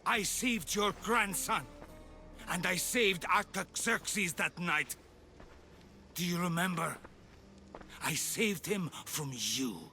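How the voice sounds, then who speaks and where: A slow, grandiose zealot cult leader. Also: male voice, high voice, bright, forceful tone, faint music behind, full character voice. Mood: impassioned. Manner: grandiose, deliberate speechmaking. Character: aging zealous Persian leader of a secret order, calm and menacing. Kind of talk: video game cutscene acting.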